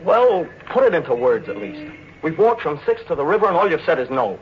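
A brash, cynical man in a 1940s radio drama, blunt and impulsive. His mid-pitched voice moves up and down a lot, on a dull recording with faint noise. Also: very animated, fairly quick. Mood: angry, impatient. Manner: blunt, clipped, impatient. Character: brash, impulsive, cynical young suitor, a sudden guy.

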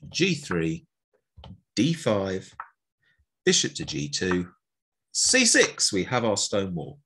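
A man's voice: low voice, a bit bright, slightly rough. A middle-aged man, teaching chess in a chatty, playful way as he calls out the moves one by one. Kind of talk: chess lesson narration over an on-screen board.

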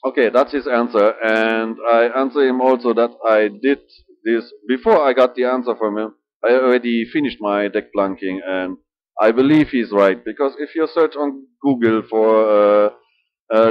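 A middle-aged man with a German accent, speaking halting English at home with many filler sounds and restarts. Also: mid-range voice, fairly even intonation. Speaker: model ship builder. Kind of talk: casual hobby vlog. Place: small room indoors. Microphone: webcam at desk. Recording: dull lo-fi webcam audio.

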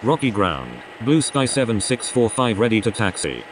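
A man's voice: on the low side, very animated, normal speed, slight background noise. A man playing a businesslike airline pilot in a game, calling in terse, procedural radio phraseology. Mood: businesslike, routine. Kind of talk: clipped English aviation radio phraseology.

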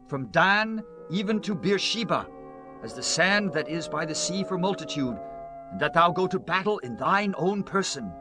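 An actor playing an earnest, persuasive counselor in ancient Israel, speaking in measured oratory in a dramatized scripture reading. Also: male voice, sits high. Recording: music playing behind the voice.

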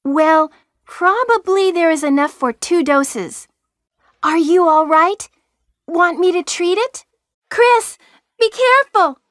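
A woman's voice: extremely high pitch, animated, takes it slowly, fairly bright tone, no room sound, clean studio sound, heavily acted. A woman playing an eager, girlish young rookie medic in a horror video game, with perky, stiff phrasing. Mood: helpful, concerned. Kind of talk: stilted 1990s video game voice acting.

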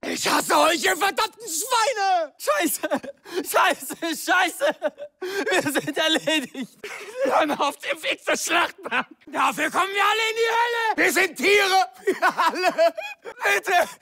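A man's voice: very high voice, lively intonation, takes it slowly, pushed and bright, heavily acted. A male actor playing a panicking, despairing German soldier in a war video game, screaming and pleading in anguish. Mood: frantic. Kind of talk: shouted video game battle voice lines.

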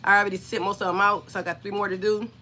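A woman in her forties, hoarse and scratchy from being sick, chatting on a livestream from her home craft room and greeting viewers by name. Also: mid-range voice, level tone, racing, pushed and bright. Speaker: craft channel host.

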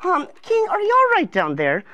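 Woman as a patient children's advisor, very high voice, lilting and animated, coaxing, fussy, gently instructive. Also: normal speed, no room sound, exaggerated voice. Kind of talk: children's moral lesson in character.

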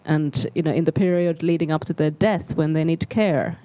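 A middle-aged woman speaks on a seminar panel with a mellow, warm tone and very little room echo. She explains her points off the cuff, often repeating words as she builds them. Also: low-pitched, fairly even intonation, moderate pace. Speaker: academic panelist. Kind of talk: spontaneous panel discussion remarks. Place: seminar auditorium on stage. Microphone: handheld microphone.

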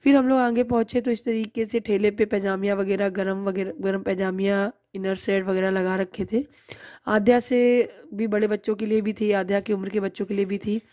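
A woman's voice: medium pitch, little pitch movement, moderate pace, warm tone, no room sound, studio quality. A woman in her thirties narrating her own shopping vlog in a chatty, explaining way. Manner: chatty, explains prices and bargaining. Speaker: vlogger. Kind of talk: casual vlog voice-over narration.

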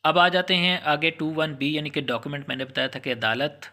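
Bright-voiced Pakistani man giving a lecture. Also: on the high side, a little fast. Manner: instructive, with frequent English legal terms. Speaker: law lecturer. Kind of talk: informal explanatory lecture.

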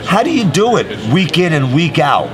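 A middle-aged man interviewing a player on a handheld mic, talking in an energetic, emphatic style at a busy indoor media event. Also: a little high, moderate pace. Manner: hyped, emphatic catchphrases. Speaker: sports interviewer. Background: crowd chatter.

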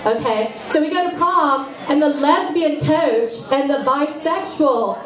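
A woman's voice: high voice, measured pace, fairly bright tone. A woman voicing a brash, foul-mouthed goth woman puppet in a live comedy act, bratty, taunting and sardonic. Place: small indoor club stage, far camera. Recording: roomy, distant mic in noisy crowd.

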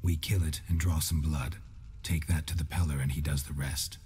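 Cold, cynical monster hunter for a fantasy game: extremely deep, deadpan, terse, faint music behind. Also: male voice, moderate pace. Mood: matter-of-fact. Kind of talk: dramatic video game acting.

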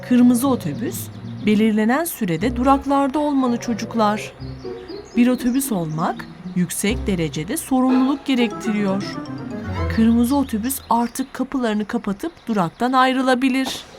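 A woman narrating an educational children's cartoon, addressing young viewers in a didactic, encouraging, clearly articulated way. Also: on the high side, normal speed. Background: music.

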